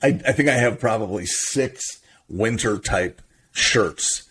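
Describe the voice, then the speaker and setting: Slightly husky, low-voiced man, teasing. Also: slow, a bit bright. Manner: teasing, playful. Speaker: podcast co-host. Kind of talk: casual bantering podcast chat.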